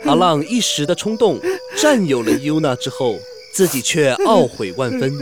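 A man's voice: a little high, big pitch swings, moderate pace, music in the background. A man narrating a scripted drama as a dramatic storyteller.

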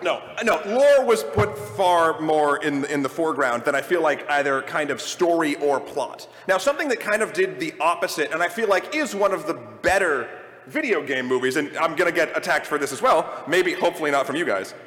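Male panel host, slightly high voice, opinionated and discursive, recorded live in a reverberant hall. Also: animated, brisk, fairly bright tone. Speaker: panel host leading a discussion of video game movies. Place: large room at a convention.

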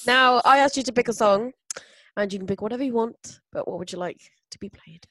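A woman's voice: fairly high pitch, brisk, a bit bright, no echo, low-quality recording. A woman hosting a podcast in casual chat, talking with loose restarts and frequent laughs, with a slight hay fever cough. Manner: chatty, laughs often, trails off. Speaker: podcast host.

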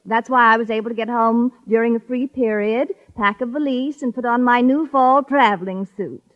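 Actress playing smug rival woman teacher, high syrupy voice, catty, flirtatious, vintage radio sitcom, muffled sound. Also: moderate pace, lightly acted. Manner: syrupy politeness with barbed digs. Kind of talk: 1950s radio sitcom acting. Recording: muffled old radio recording, studio audience.

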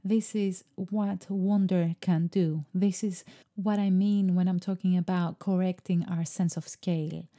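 A woman whose first language is Greek, speaking in a slow, meditative, musing way about creativity. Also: on the low side, steady tone, dark tone. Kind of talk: reflective spoken monologue.

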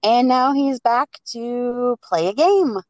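A woman hosting a podcast, chatting casually and improvising a story with halting pauses between phrases. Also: fairly high pitch, a little mellow, no room sound, muffled recording. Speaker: podcast host.

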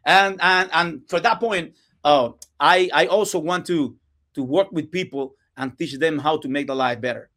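A man's voice: a little high, normal speed, sharp tone. A man from Cuba with a Cuban Spanish accent, speaking English as a second language, telling his story earnestly as a podcast guest and often restarting his sentences. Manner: earnest, with frequent restarts and non-native grammar. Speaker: guest, real estate entrepreneur. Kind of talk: casual podcast interview. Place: podcast studio.

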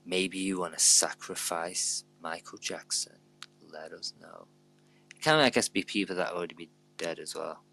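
A man's voice: low voice, normal speed, gravelly, sharp tone, low-quality recording. A British man hosting a casual live show with jokey, sweary, blokey banter. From Britain. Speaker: host.

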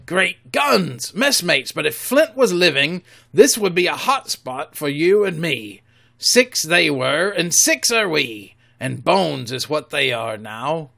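Silver, a cunning old pirate cook, put on by a man reading aloud, booming out gruff sailor's slang in a ringing, bright tone. He sounds commanding and sly, a ship's cook who keeps the crew in line. A storybook reading. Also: big pitch swings, slow, full character voice.

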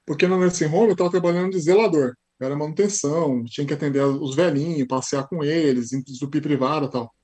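Give me a lively Paulista man with a husky voice and a rapid pace, chatting as a guest on a podcast. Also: on the high side. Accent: São Paulo Portuguese. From Brazil. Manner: animated storyteller, acts out quoted dialogue. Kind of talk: casual interview chat.

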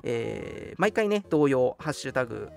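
Young male host for casual radio talk; animated, quick, courteous, chatty delivery over faint music. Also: medium pitch, vocal fry. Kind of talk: casual live radio chat with announcements.

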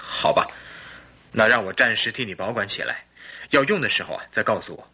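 An earnest husband of thirty-two in a radio drama, polite yet troubled. His voice has a sharp, forceful edge and a rough huskiness, and it moves in lively, expressive swings as he explains himself. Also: on the low side, brisk, heavily acted. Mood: concerned, puzzled. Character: troubled 32-year-old English husband, a hop trader, polite and earnest. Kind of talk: Chinese radio drama acting.

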